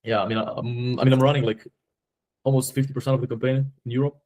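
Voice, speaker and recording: A man with a Slovenian accent talks through a sales conversation at a very rapid clip. His pitch sits in the middle, and his phrasing is informal, often circling back on itself. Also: little pitch movement, darkish tone, poor audio. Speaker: agency owner on a sales call.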